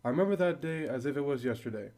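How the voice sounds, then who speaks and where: A man's voice: medium pitch, animated, rapid-fire, darkish tone, lightly acted. A man playing a worried father in an amateur radio drama, earnest and reflective.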